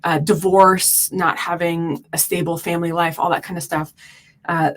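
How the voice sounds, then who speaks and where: Guest woman, low-pitched, earnest explainer, frequent ums, from Turkey. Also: level tone, normal speed. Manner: earnest, explains at length with many fillers. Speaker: podcast guest. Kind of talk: explanatory interview talk.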